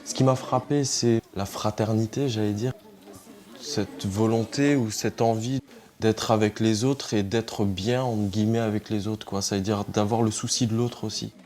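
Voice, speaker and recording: A man with a fairly low voice reflects on his childhood faith. He speaks plainly, pausing on fillers as he remembers. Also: fairly even intonation, a little mellow. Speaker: interviewee. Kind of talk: personal testimony.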